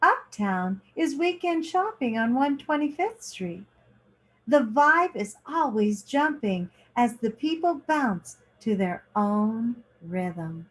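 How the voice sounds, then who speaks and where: A woman reading a children's picture book aloud in short, deliberate phrases, like a teacher. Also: fairly high pitch, very animated, rough recording.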